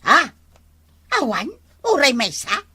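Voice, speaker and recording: A woman playing a sharp, nagging village woman in a radio comedy drama, scolding and questioning. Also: a little high, very animated, takes it slowly, slightly rough, no room sound, full character voice.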